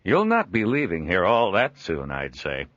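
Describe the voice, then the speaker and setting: A man voicing a jovial middle-aged traveling trader, hearty and quick to laugh. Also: wildly swooping pitch, fairly bright tone, no room sound, dull audio, heavily acted. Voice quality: hearty, booming, laughs often.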